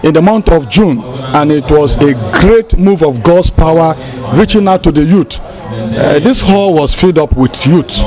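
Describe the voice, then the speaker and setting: Higher-voiced Nigerian pastor, halting delivery. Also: male voice. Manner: halting, frequent hesitations. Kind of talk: interview explanation. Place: outdoors beside a building. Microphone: handheld interview microphone.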